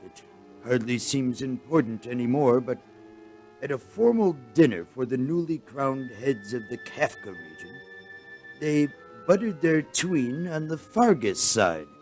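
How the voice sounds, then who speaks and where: A man playing a humble, gloomy alien chancellor in a radio play, speaking in a formal, halting, resigned manner. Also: mid-range voice, very animated, darkish tone, soft background music. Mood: courtly, resigned.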